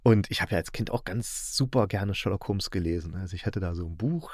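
A man from East Germany hosting a casual podcast about audio dramas, telling things in an animated, colloquial way. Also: sits low, fairly quick, no room sound, clean studio sound. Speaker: podcast host.

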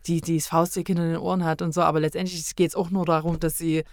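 Hesitant woman in casual discussion, lowish voice. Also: level tone, fast talker, darkish tone, no echo, studio quality. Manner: hesitant, searching for words. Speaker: podcast guest.

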